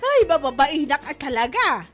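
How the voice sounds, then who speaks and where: Woman acting high, bright, scolding Ilocano wife. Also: very animated, normal speed, faint music behind. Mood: embarrassed, upset. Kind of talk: comedy drama acting.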